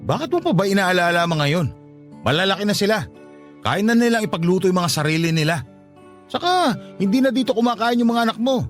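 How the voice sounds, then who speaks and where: A scornful, irresponsible Filipino husband in a radio drama. He talks quickly in a lively, swinging high voice, brushing off everything with impatience. Faint music sits behind him. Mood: dismissive. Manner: dismissive, sneering, impatient.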